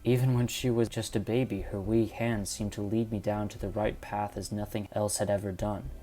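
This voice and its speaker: A man's voice: fairly low pitch, little pitch movement, normal speed, mellow, slight background noise, natural voice, rough recording. Man playing a solemn, embittered dying old man. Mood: remorseful, wistful. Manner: solemn, formal, old-fashioned storytelling. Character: old dying landowner confessing a crime, bitter, desperate, devoted father. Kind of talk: amateur audio drama acting of a Victorian detective story. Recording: dramatic music under the voice.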